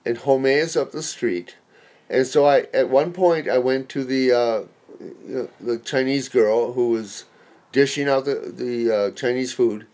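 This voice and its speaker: A man's voice: mid-range voice, measured pace, a little mellow. A man in his sixties chatting on a podcast, hesitant, stumbling and restarting his sentences. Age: 60s. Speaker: podcast co-host. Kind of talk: casual podcast chat.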